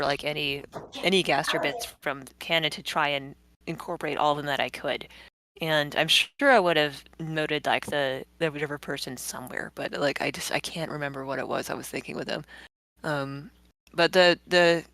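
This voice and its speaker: A woman's voice: low voice, normal speed, a bit bright, no room sound. A woman giving casual behind-the-scenes commentary as a comic creator, rambling and thinking aloud as she goes. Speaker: webcomic creator guest.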